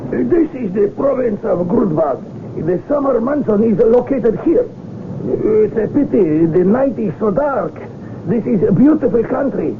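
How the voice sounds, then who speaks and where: A ceremonious military colonel with a strikingly high voice and slow, stately delivery. Every phrase is formal and solemn, in old radio drama fashion. The sound is thin and tinny with faint noise. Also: male voice, animated. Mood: formal, courteous. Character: solemn, ceremonious colonel presiding over a coronation. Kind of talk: 1970s radio drama acting.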